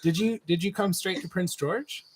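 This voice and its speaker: A man's voice: high-pitched, normal speed, breathy edge. A man hosting a podcast in casual chat, tossing in short joking remarks. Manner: joking interjections. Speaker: podcast host.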